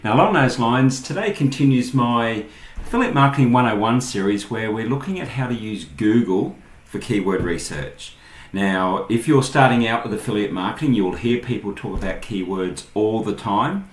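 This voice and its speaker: An Australian man with a fairly low voice presents an informal how-to tutorial. He explains each step as he goes through a screen demonstration. The recording is noticeably roomy and echoey. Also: normal speed. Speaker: online marketing tutorial host.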